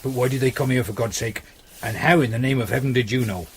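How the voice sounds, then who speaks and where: A man's voice: mid-range voice, quick pace, lightly acted. A man playing an anxious, questioning companion in a medieval radio drama, with an urgent manner. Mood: puzzled. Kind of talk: British radio drama acting. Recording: noisy ambient sound behind voice.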